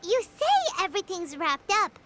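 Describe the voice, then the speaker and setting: A woman voicing a tiny, childlike, easily flustered female fairy companion in a video game, with a squeaky voice and exclamatory manner. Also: wildly swooping pitch, moderate pace, exaggerated voice. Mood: excited, puzzled. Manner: exclamatory, questioning. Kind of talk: video game character voice acting. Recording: noisy game capture.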